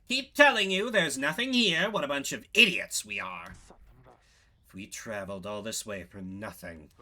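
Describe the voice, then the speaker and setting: A man's voice: medium pitch, normal speed, bright, forceful tone. A man voicing a restless, exasperated exile in a video game, complaining irritably.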